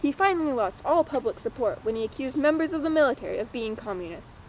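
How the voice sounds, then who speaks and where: Young female presenter, high voice, scripted mock-documentary read, slight noise behind. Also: lively intonation, fast talker, a little mellow, rough recording. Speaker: presenter and interviewer.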